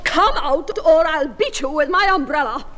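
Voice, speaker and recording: A woman's voice: sits high, lively intonation, normal speed, fairly bright tone. A woman playing a prim and proper married lady with a stern streak in a 1960s radio comedy. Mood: indignant. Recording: old radio recording, reverberant, faint noise.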